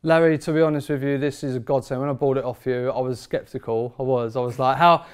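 Barber guy in his 30s hyping up his gear, smooth clear voice, chatty and emphatic. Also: mid-range voice, steady tone, fast. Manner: enthusiastic, emphatic, informal. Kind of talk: product review chat. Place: barber shop, indoors.

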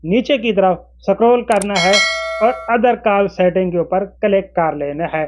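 High-pitched man presenting a tech tutorial. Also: unhurried, noisy background. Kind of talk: explanatory phone tutorial to camera. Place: small indoor room with desk.

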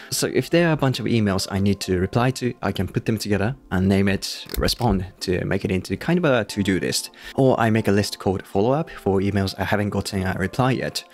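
A man in his thirties with a Japanese accent, presenting a scripted review to camera into a close microphone. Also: on the low side. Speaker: YouTube tech reviewer. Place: small room indoors. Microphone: close podcast-style microphone on a boom. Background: background music.

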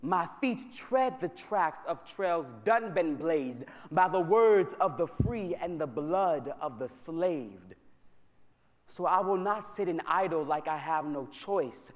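An American man performing spoken word poetry on a stage microphone at a live church concert, with a rhythmic, rhyming delivery and emphatic slow repetitions. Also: fairly high pitch, expressive. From United States. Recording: long hall reverb from a large church.

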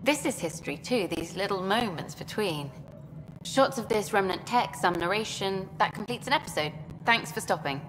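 An actress voicing an eager, upbeat female documentary journalist in a video game, talking quickly and pushing for answers. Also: medium pitch, lively intonation, big-room sound, background noise, natural voice. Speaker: documentary filmmaker character.

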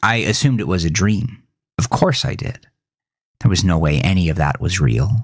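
A man reading scripted first-person horror narration in a dramatic, deliberate storytelling manner, as a podcast host. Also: low-pitched, animated, slightly rough, no echo, clean studio sound.

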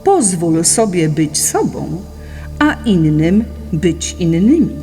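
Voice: medium pitch, sing-song, slow pace, dark tone. A narrator reading a scripted philosophical audiobook in an explanatory, didactic manner. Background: music.

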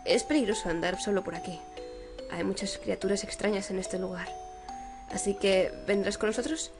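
A young performer voicing a cautious, protective young man from a horror game, calm, polite and serious. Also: sits high, moderate pace, music in the background, pitched below the performer's own voice. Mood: calm, concerned.

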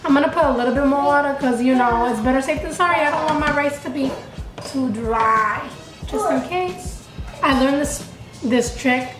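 A woman's voice: high voice, moderate pace. A woman in her twenties chatting casually and playfully through a home cooking vlog in her kitchen. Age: 20s. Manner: playful, bubbly, with sound words. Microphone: camera nearby, at arm's length or on counter. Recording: echoey kitchen, music behind.

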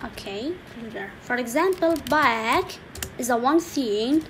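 A woman teaching an English lesson slowly, repeating each word and saying "okay" often, with keyboard typing faintly behind her. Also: a little high, lively intonation, background noise. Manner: slow, repetitive, saying each word twice with frequent "okay". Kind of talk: slow screen-recorded language lesson.

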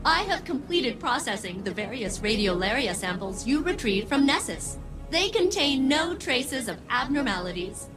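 Female ship AI, video game, perky and precise, deadpan sarcastic side, very lively pitch, music behind. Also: moderate pace. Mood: chirpy, eager. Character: female ship AI, chirpy scientist with gloomy sardonic side. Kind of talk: scripted video game dialogue.

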